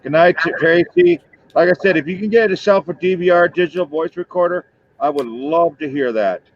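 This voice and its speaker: A man's voice: a little high, fairly quick, highs cut off, slight background noise. Man in his fifties, joking stream host. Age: 50s. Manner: loose, joking, rambling. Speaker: livestream host. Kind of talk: casual chat with viewers.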